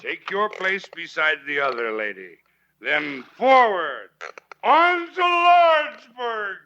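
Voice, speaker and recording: An actor playing a tipsy, pompous, jovial older American doctor in a 1940s radio western, slurring theatrically. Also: male voice, high-pitched, big pitch swings, slow, exaggerated voice. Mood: mock-grand. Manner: grandiloquent, tipsy rambling. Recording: muffled, noisy 1940s radio recording.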